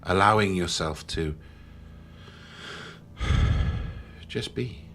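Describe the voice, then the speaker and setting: A man leading a guided meditation in a slow, soothing manner with long pauses. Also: low-pitched, noisy background. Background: background music.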